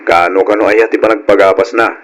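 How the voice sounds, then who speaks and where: An actor playing a hardworking, respectful farmer in a radio drama, with an Ilocano accent. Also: male voice, lowish voice, fairly even intonation, fairly quick, natural voice. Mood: casual. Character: hardworking, respectful grown farmer, easygoing son and husband. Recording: old radio drama, faint noise.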